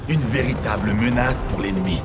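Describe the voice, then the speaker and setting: A male actor plays a solemn war storyteller, grandiose and foreboding. His low voice narrates dramatically beneath very loud music, in cinematic game style. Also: brisk, natural voice.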